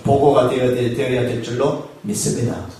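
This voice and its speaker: A male pastor preaching a Sunday sermon, asking the congregation questions and slowing down to stress key phrases. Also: mid-range voice, steady tone, mellow. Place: church sanctuary. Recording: echoey church hall, mic far off.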